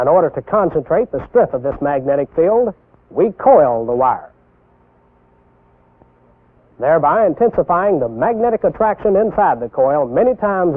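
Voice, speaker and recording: A young American man giving scripted instructional narration as a military instructor, deliberate and didactic, posing rhetorical questions. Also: a little high. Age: young adult. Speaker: military instructor presenting a training film. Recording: tinny band-limited 1960s film soundtrack.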